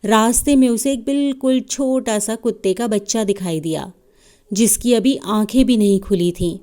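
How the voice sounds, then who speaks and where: A woman's voice: on the high side, normal speed, mellow. A woman narrating a scripted children's story as a storyteller.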